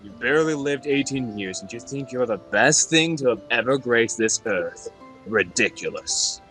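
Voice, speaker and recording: A man's voice: medium pitch, lively intonation, normal speed, fairly bright tone, soft background music, lightly acted. A man voicing a regal, nonchalant demon lord who is haughty, taunting and commanding, in a game fandub. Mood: condescending. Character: regal male demon lord, ageless, haughty, mocking, playfully menacing.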